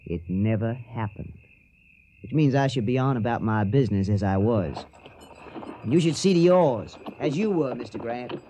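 A man playing a gentle, wistful young soldier in a radio drama, speaking in a measured, reflective way. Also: mid-range voice, expressive, natural voice. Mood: wistful, resolute. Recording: noisy old radio drama recording.

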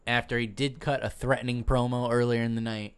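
A man's voice: medium pitch, little pitch movement, brisk, smooth. A man co-hosting a podcast, chatting casually about wrestling, loose and joking. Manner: laughs often, loose and joking. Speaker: podcast co-host.

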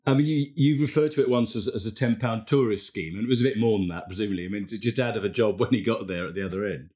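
A man hosts an interview podcast, talking quickly in a husky, fairly low voice. He keeps the questions moving in a brisk, chatty way. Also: darkish tone. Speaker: podcast host. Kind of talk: interview host chat.

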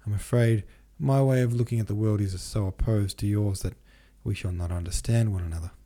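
Young gentleman read by a male narrator, timid, courteous and hesitant, soft-edged mellow tone, audiobook style. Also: lowish voice, steady tone, brisk. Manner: polite, hesitant.

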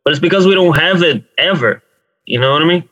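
A man's voice: a little high, quick pace, fairly bright tone, dull audio. A man from Brazil, a band member, chatting casually as an interview guest in an informal, matter-of-fact way. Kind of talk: casual chat.

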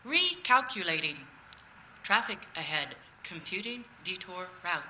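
A woman voicing a stubborn GPS navigation machine in a live comedy audio play, measured, clipped and insistent. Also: fairly low pitch, sing-song, bright, forceful tone, a little hiss or hum, full character voice, poor audio. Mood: neutral.

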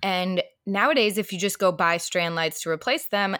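A woman in her thirties co-hosting a podcast in casual chat, a little hoarse, laughing often as she talks. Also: lowish voice, moderate pace, dry recording, clean studio sound. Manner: laughs often, animated and chatty. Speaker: podcast co-host.

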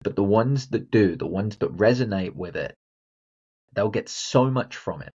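A man's voice: lowish voice, lively intonation, moderate pace, darkish tone, slightly rough, lo-fi sound. A man hosting a motivational solo podcast, talking bluntly and directly to the listener. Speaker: podcast host and confidence coach.

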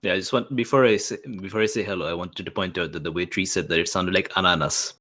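A man with a low voice trades casual banter as a player on a game podcast. Faint noise sits behind him. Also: steady tone, quick pace, sharp tone.

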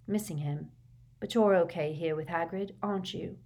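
A woman's voice: fairly low pitch, normal speed, darkish tone, natural voice. A woman voicing a stubborn, plain-spoken teenage boy wizard in an audiobook, with a firm and direct manner. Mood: low, gentle.

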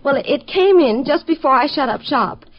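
A woman's voice: high-pitched, animated, moderate pace. An actress playing an earnest, guileless young female library clerk who is easily flustered, in a 1950s radio drama. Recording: dull lo-fi vintage radio recording.

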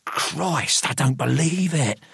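Male narrator as a domineering man in a story, raised pitch, sharp, hissing, urgent, cold, contemptuous. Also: very animated, unhurried, breathy edge, no room sound, clean studio sound, heavily acted. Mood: hissing, incredulous. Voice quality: hissed, sharp, urgent delivery.

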